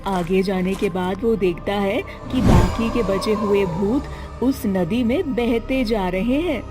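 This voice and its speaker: A woman's voice: medium pitch, slow, loud music behind the voice. A woman reading a scripted children's story as its narrator.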